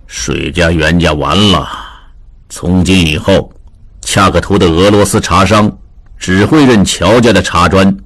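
A man voicing a worried, grave middle-aged merchant who speaks low and heavy. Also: slow pace, pauses often, fairly bright tone, dry recording, exaggerated voice.

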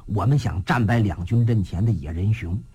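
Brief: storyteller playing a cheeky young fighter, low and wheedling. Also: normal speed, mellow, husky, dry recording. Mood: coaxing. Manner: coaxing, sly.

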